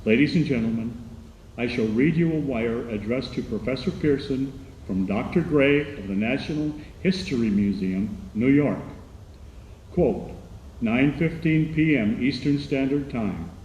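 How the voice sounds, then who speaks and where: A man's voice: medium pitch, a little mellow, lightly acted. A man playing an earnest radio commentator, reporting formally in an old-time radio drama news style. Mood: formal, composed. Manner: formal, deliberate announcing. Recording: echoey radio drama with background noise.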